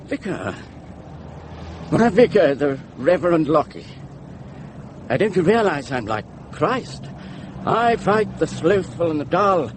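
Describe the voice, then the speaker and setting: A ranting crusader in a drama, scornful and defiant. The voice is fairly high and swings widely as he declaims, with faint noise behind it. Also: big pitch swings, slow, long pauses. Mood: fervent, persuasive. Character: fiery, ranting male crusading pamphleteer, defiant and suspicious. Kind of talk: theatrical dramatic monologue and dialogue.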